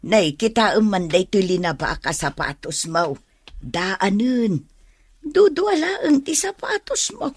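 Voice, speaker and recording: Radio drama mom: woman playing a nagging, caring older mother, Ilocano accent, very expressive delivery. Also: medium pitch, slow pace, breathy edge, no room sound, exaggerated voice. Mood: fussing, nagging.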